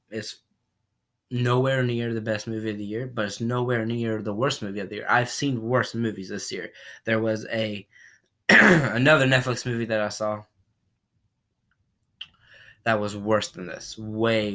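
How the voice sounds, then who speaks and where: A man talks through a movie review in a loose, rambling way, circling back on his own points. His voice sounds a little off from a recent cold, and he keeps clearing his throat. He sits a touch low in pitch. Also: bright, forceful tone. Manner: rambling, repeats himself, frequent throat clearing. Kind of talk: casual spoken film review.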